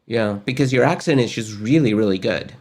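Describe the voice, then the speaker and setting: A man's voice: lowish voice, fairly quick, a little mellow. A middle-aged man from Argentina, hosting a casual webinar in an upbeat, chatty way with many fillers, recorded at his desk in a home studio. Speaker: host. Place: home studio with gaming chair.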